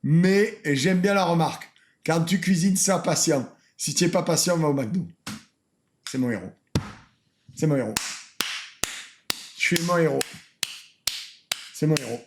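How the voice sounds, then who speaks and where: A man's voice: fairly high pitch, a little slow, long pauses, background noise. A French man streaming a reaction video in a casual, mocking way, laughing often and repeating lines.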